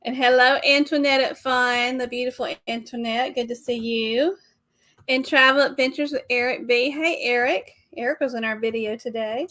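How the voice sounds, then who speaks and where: A middle-aged woman with a drawl hosts a live stream in a bubbly way, laughing often between greetings. Her voice sits a little higher than most women's. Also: steady tone, normal speed, sharp tone. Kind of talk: casual live chat greeting viewers.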